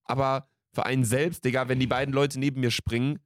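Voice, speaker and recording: A man's voice: medium pitch, flat delivery, a little fast, dry recording, clean studio sound. A man in his late twenties co-hosting a podcast in casual, slangy, jokey banter. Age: late 20s. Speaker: podcast co-host.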